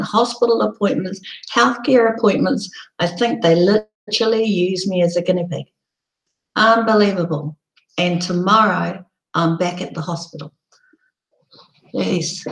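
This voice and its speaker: A woman with a croaky, hoarse voice, hosting a casual live broadcast and rambling with many ums. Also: mid-range voice, roomy sound, rough recording. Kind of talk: casual live-stream chat.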